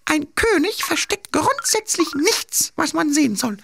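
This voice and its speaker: A squeaky, cheeky little king. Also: big pitch swings, vocal fry, over music, exaggerated voice. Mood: smug. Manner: playful, self-justifying, drawn-out childlike delivery. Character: cheeky, greedy little boy king, childlike and sly. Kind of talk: children's cartoon dialogue.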